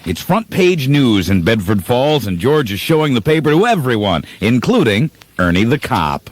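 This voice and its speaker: A man's voice: mid-range voice, sing-song, normal speed, no echo. A man narrating a scripted radio play as its announcer.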